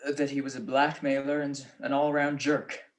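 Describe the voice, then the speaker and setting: A man's voice: mid-range voice, little pitch movement, moderate pace, natural voice. A man playing a wisecracking, easygoing private detective in a radio-style mystery, with a wry, offhand manner. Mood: wry, groggy. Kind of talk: 1940s radio detective acting.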